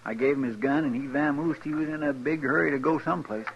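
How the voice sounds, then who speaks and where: A man's voice: fairly high pitch, fast talker, creaky. An actor playing a dutiful, folksy lawman's sidekick in a 1950s western radio drama. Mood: eager. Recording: muffled, faintly noisy old radio recording.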